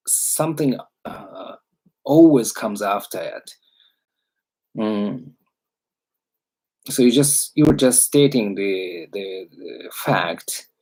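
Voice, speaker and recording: Husky-voiced man explaining slowly. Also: mid-range voice, a little mellow, low-quality recording. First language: Japanese. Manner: halting, thinking aloud with many fillers. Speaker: English teacher. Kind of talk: livestream Q&A.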